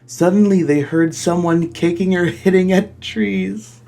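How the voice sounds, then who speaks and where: A man's voice: high voice, fairly even intonation, measured pace, creaky, dark tone. Theatrical man doing a dramatic comic reading. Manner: theatrical, mock-outraged, breaking off to comment on the text. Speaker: host of a comedy reading video. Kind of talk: dramatic comic reading of fan fiction with exasperated asides.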